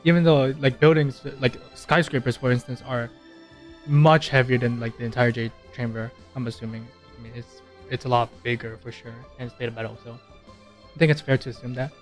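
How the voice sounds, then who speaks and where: A man's voice: mid-range voice, steady tone, fairly quick. A young man giving casual game commentary, thinking aloud in rambling asides. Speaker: gaming streamer. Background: game music.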